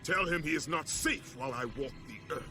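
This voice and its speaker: A man's voice: a little high, lively intonation, a little fast, bright, forceful tone. A male actor playing a furious, vengeful Greek warrior in a video game, commanding and menacing in his declamation. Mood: defiant rage. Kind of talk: dramatic video game acting. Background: music.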